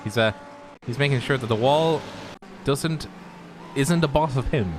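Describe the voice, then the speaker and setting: A man's voice: medium pitch, a little slow, noisy background. A man co-commentating a sim race stream in a jokey, chatty way, talking to the viewers. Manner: jokey, chatty, talks to the chat. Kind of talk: casual live race commentary.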